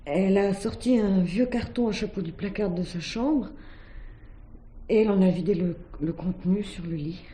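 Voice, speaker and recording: A woman's voice: mid-range voice, normal speed, dark tone, lightly acted. A woman playing an earnest young student under questioning in a 1970s radio drama, careful, deferential and a little hesitant. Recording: echoey room, old drama recording.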